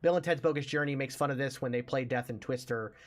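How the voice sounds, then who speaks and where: A man's voice: medium pitch, fast talker. A man chatting casually as a podcast host about films, explaining things with enthusiasm. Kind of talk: casual movie discussion.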